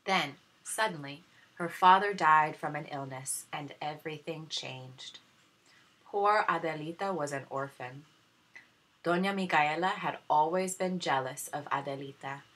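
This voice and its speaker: Low, bright, husky-voiced woman storyteller. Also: unhurried, low-quality recording. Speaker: teacher reading aloud. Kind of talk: expressive children's storybook narration, bilingual.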